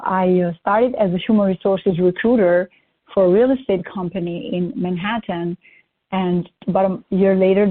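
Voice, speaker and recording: Woman on a flat tinny line. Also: on the low side. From Albania. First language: Albanian. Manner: upbeat, lists achievements at length. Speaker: guest. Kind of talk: interview self-introduction.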